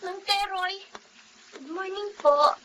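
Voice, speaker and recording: A humble teenage orphan girl in a radio drama. Her voice is very high, timid and pleading, always polite. There is noise behind her. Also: slow pace. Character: tearful, humble sixteen-year-old orphan girl. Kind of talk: Tagalog radio drama acting.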